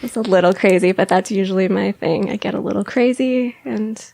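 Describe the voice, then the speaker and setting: Woman, young, girly voice, raspy fry, frequent laughs. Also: brisk, a little mellow, no echo. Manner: girly high voice, laughs often. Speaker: podcast guest. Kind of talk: casual candid chat.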